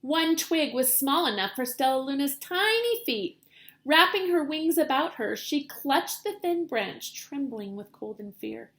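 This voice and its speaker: A woman with a high voice reads aloud as a primary-style teacher would. Her delivery is expressive and dramatic, shaped for children following a storybook. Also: a little slow. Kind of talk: children's picture book read-aloud.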